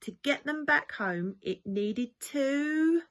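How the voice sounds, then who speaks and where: Animated woman reading a children's storybook. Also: high voice, drawn-out words, slight background noise. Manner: animated, lilting rhyming delivery. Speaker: primary school staff member reading to children.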